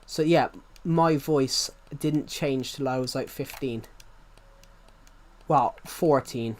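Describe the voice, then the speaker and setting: A young man in his twenties talks through a livestream in a loose, rambling way. His voice sits at an ordinary middle pitch, and he circles back to restate each phrase before pushing on. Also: slow, darkish tone. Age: 20s. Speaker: streamer. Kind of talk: rambling casual livestream chat.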